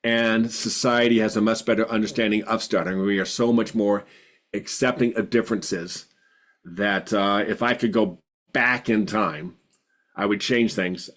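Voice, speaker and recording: A man's voice: fairly low pitch, fairly even intonation, measured pace. A man in his fifties who stutters, sharing earnestly and reflectively in a group video call, repeating words for emphasis. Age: 50s. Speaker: support group participant.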